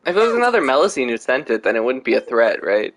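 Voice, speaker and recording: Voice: medium pitch, big pitch swings, quick pace. A game streamer giving casual gameplay commentary and reacting to the story. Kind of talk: casual gameplay commentary, reading dialogue choices.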